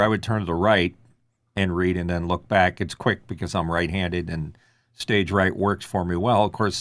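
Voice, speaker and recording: A man's voice: deep voice, a bit bright, no echo. A man on a panel giving conversational, practical advice.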